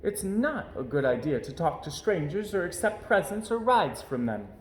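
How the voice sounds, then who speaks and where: A man voicing a gentle mother bear in a children's picture book, explaining things patiently and reassuringly. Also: fairly high pitch, big pitch swings, moderate pace, roomy sound, voice pushed up. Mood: reassuring, cautionary.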